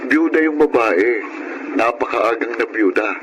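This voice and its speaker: A man's voice: mid-range voice, a little slow, a bit bright, lightly acted. A young man playing a chatty, respectful younger brother in a radio drama, with a Filipino accent and casual manner. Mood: chatty, informative. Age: young adult. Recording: slightly noisy radio drama recording.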